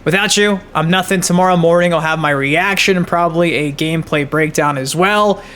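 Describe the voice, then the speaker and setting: A man's voice: on the high side, fairly quick, pushed and bright. A man giving casual, hyped gaming news commentary as a channel host, slangy and emphatic.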